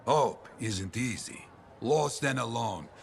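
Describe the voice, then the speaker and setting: A man playing an earnest, solemn veteran adventurer, telling stories deliberately in a gravelly voice in a video game. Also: mid-range voice, lively intonation, a little hiss or hum. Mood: solemn conviction. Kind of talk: dramatic video game cutscene acting.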